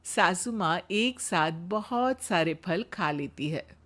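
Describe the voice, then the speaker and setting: A woman's voice: on the low side, animated, takes it slowly, a bit bright. A woman giving scripted narration for a Hindi moral story.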